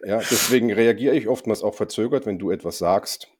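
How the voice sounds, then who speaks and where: Slightly hoarse man, low voice. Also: a little fast, a little mellow. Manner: dry, teasing, hesitant with many ums. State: slightly hoarse, recovering from a cold. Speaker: podcast co-host. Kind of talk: casual chat.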